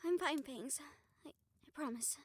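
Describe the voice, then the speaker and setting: A woman playing a fiery, loyal young fighter in an audio roleplay, informal and excitable in manner. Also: sits high, normal speed, no room sound, close to an everyday voice. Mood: settling, doubtful. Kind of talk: dramatic audio roleplay acting.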